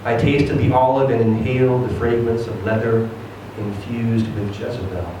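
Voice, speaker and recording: A man giving an expressive, theatrical reading of a first-person short story. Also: medium pitch, moderate pace. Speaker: reader of a short story at a live event. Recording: very echoey room, distant mic, noisy.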